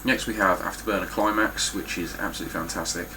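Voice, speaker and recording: A man's voice: sits low, fairly even intonation, fast talker, noisy background. A man with a stuffy, nasal voice giving a casual, blunt spoken review of his game collection, with frequent ums and some swearing.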